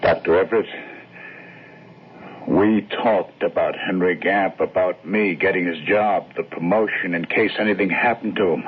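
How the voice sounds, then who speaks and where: Husky, tormented radio-drama husband. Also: male voice, low voice, fairly even intonation. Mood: troubled, subdued. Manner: halting, emotional, repeats himself. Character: distraught husband and father, tormented, fearful, grateful. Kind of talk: 1970s radio drama acting. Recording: muffled phone-like old radio recording.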